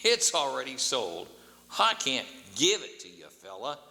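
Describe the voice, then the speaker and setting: A man's voice: mid-range voice, huge pitch swings, unhurried, vocal fry, sharp tone, heavily acted. A man voicing an irritable, brusque baker who speaks in a dismissive way. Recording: long hall reverb, faint hum.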